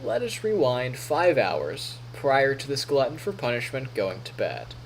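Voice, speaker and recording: A man's voice: mid-range voice, wildly swooping pitch, a little slow, a little hiss or hum, rough recording. A man live streaming, reading story narration aloud in a playful, theatrical way with casual asides.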